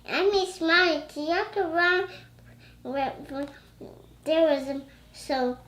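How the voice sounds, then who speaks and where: A young child with a very high, bright voice reads a storybook aloud and chats. The delivery is slow and halting, with stumbles over words, in a roomy-sounding recording. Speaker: young reader. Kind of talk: reading a storybook aloud, chatting.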